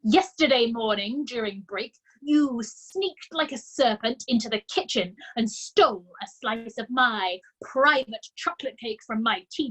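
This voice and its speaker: Woman doing a big bossy headmistress, barking, yelling, loud and high, a bit breathy. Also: rough recording. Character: tyrannical bullying female headmistress, booming.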